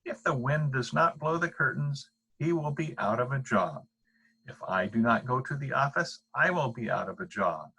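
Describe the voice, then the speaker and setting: A man's voice: mid-range voice, expressive, normal speed, bright, forceful tone, slightly rough, exaggerated voice, low-quality recording. A man reading the part of a firm, patient father in a bedtime storybook, explaining things matter-of-factly.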